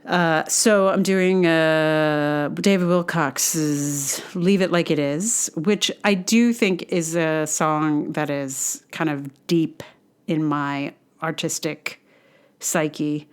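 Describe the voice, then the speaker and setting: Halting, lower-voiced woman podcaster. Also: steady tone, clear, resonant tone. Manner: halting, thinking aloud in loose, informal phrases. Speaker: podcast host. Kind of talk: casual solo podcast talk.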